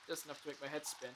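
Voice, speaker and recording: A man's voice: on the high side, a bit bright, lightly acted, rough recording. A man acting in an audio drama as a dazed, apologetic, anxious figure who stammers and hesitates.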